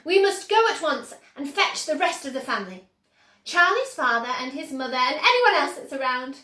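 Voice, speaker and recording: A woman's voice: sits high, sing-song, moderate pace, bright, forceful tone, reverberant, pitched above the performer's own voice, heavily acted. A woman voicing an eccentric, exuberant old man who owns a chocolate factory, speaking earnestly and emphatically in a storybook reading. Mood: excited.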